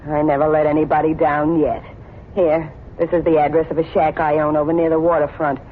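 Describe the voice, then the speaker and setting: A woman acting a wary, sharp-spoken woman confronting a fugitive, curt and urgent in an old radio suspense style. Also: low-pitched, flat delivery, fairly quick, natural voice. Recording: tinny, faintly noisy old radio recording.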